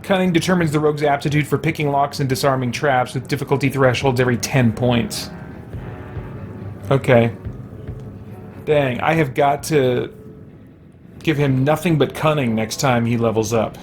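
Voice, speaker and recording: A man's voice: mid-range voice, normal speed, pauses often, faint music behind. A man giving casual let's play commentary, thinking aloud and muttering to himself as he plays. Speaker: gaming channel host.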